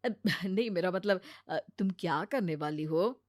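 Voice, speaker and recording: A woman's voice: on the low side, a little slow, a little mellow, natural voice. Woman doing a mild, hesitant young husband. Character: mild, hesitant young husband caught between wife and mother. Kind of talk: comic Hindi cartoon story acting.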